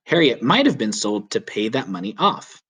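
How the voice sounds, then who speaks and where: A man reading a children's story aloud as a teacher would, with clear, deliberate, emphatic phrasing. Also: lowish voice, sing-song, fairly bright tone. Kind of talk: children's read-aloud narration.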